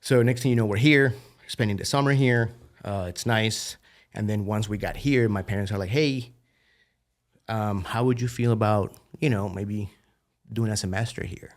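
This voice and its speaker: A man from Colombia in his thirties with a Colombian accent, a podcast guest telling his story in a relaxed, animated way. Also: on the low side, moderate pace. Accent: Colombian Spanish. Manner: relaxed, animated storytelling with frequent "you know" and "dude". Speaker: podcast guest, biotech founder. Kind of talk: casual conversational interview.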